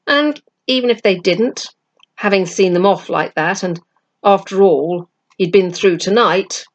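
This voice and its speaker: Lower-pitched woman reading a storybook aloud. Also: dull audio. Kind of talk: storybook read-aloud with acted dialogue.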